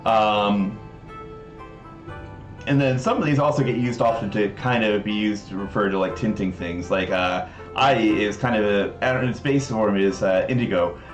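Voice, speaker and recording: A lowish-voiced American man talks casually on a livestream in an explanatory, somewhat scholarly manner. His voice has a clear room echo, with faint music playing behind him. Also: normal speed. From Southern California. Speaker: livestream host presenting a game project.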